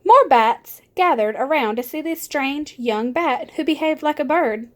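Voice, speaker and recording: A woman's voice: high voice, expressive, a little slow. A woman reading a children's storybook aloud as scripted narration. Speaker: storybook reader.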